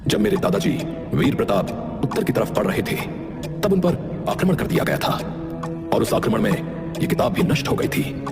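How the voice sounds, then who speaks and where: A man's voice: medium pitch, very animated, normal speed, gravelly, pauses often. A man voicing a stern, wary fairy-tale king with a formal, commanding manner. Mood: puzzled wonder. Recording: long hall reverb under music.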